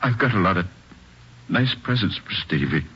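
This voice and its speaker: A bereaved American dad in an old radio play. His voice is low and very husky, halting and heavy, on a muffled vintage recording. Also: big pitch swings, full character voice. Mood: gentle, grieving. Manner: halting, heavy, plain-spoken. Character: grieving, restrained father of a dead young boy. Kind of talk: 1940s radio drama acting.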